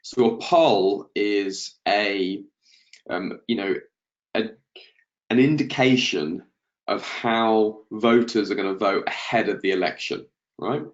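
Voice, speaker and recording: A low-pitched man with a blocked nose from the flu hosts a market-analysis webinar, often stumbling over his words. His voice comes through a dull, lo-fi webinar microphone. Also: slow pace. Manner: hesitant, many ums and restarts. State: congested from flu, coughing.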